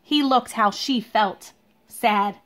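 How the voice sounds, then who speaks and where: A woman teacher speaking to young children in an expressive, deliberate read-aloud style, recorded at home in a living room. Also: a little high. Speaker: elementary school teacher reading a picture book aloud.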